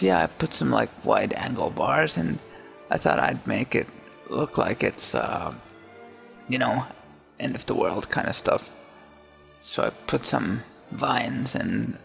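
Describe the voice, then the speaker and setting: Laid-back guy mumbling thru his photoshop speedart, lots of uhs, gravelly low drawl. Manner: relaxed, hesitant, many fillers and restarts. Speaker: digital artist narrating his speedart. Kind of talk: casual voice-over commentary.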